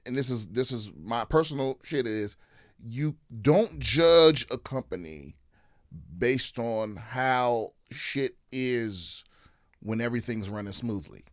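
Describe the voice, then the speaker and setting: A man's voice: mid-range voice, animated, slow, dry recording, clean studio sound. A man in casual podcast chat, ranting and swearing, with many restarts. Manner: ranting, profane, repeats and restarts. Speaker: comedian guest.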